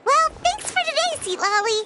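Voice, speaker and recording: Tiny floating girl sidekick, very high, bright tone, excitable, third-person talk, game cutscene. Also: moderate pace, a little hiss or hum, exaggerated voice. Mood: chirpy. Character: tiny floating girl companion, chirpy and nosy.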